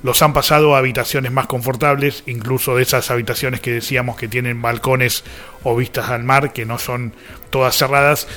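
An Argentine man speaks as a radio host in a medium-pitched voice. His delivery is conversational and casual, wandering from one aside to the next. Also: sharp tone.

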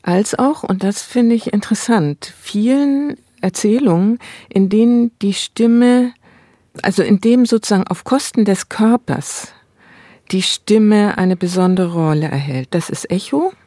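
A woman's voice: medium pitch, a little slow, warm tone, no echo, studio quality. A woman speaking as an academic interview guest, explaining ideas in a reflective way. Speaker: interview guest, literary and cultural scholar.

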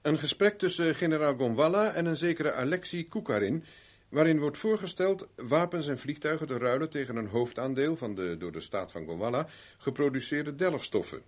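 An actor playing a self-assured, probing private investigator with dry irony in a 1970s radio drama. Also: male voice, on the high side, expressive, normal speed. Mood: businesslike, explanatory.

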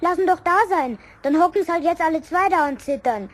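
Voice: animated, a little fast, a bit bright. A performer playing a cocky, vengeful Bavarian schoolboy in a children's radio play, with a childlike voice and a brash, goading manner. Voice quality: high childlike voice.

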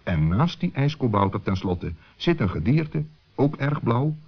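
A man's voice: medium pitch, big pitch swings, a little slow. A man narrating a scripted children's story as the storyteller. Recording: muffled old sixties recording.

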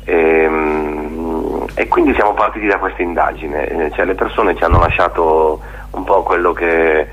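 Male interviewee, very low husky voice, reflective and hesitant conversational delivery, slightly dull radio sound. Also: fairly even intonation, a bit bright. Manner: halting and reflective, often seeking agreement with tag questions. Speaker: theatre maker being interviewed.

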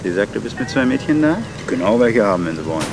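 An actor playing a lanky, easygoing older motel cook, casual and matter-of-fact, in a crime radio drama. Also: male voice, on the low side, very animated, fast, gravelly, noisy background. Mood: easygoing, chatty.

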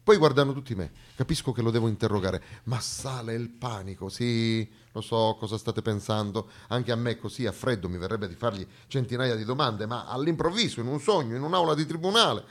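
Man delivering a dramatic theatre monologue. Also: medium pitch. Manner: dramatic pauses, shifts from hushed to emphatic. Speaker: actor, monologue storyteller.

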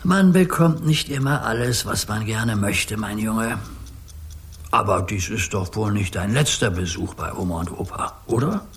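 A man's voice: fairly low pitch, animated, unhurried. An older man acting a playful grandpa who plays sea captain in a children's radio play, commanding and grandly earnest. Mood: reassuring.

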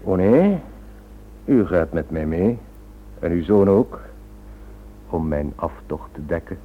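A man playing a smug, cynical criminal schemer in a radio play, suave and mock-polite with quiet menace. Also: low voice, animated, slow pace, slight background noise. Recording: thin narrow-band old radio drama recording.

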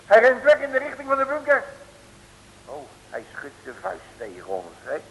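An actor playing a bumbling, excitable detective in a radio comedy serial, stammering and blustering in slang. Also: male voice, very high voice, normal speed, husky, full character voice. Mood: puzzled. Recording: hissy, noisy old radio recording.

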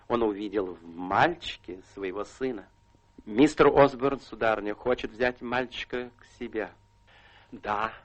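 An actor playing a solemn, courteous gentleman lawyer in a radio drama, speaking in a formal, measured, deferential way. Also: mid-range voice, natural voice, low-quality recording. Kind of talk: Russian radio drama stage acting.

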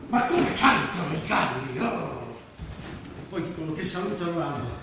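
A wistful, anxious professor in a stage drama. His somewhat high voice muses plaintively, distant from the mic in a reverberant hall. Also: very animated, moderate pace, background noise. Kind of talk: stage play acting in Italian.

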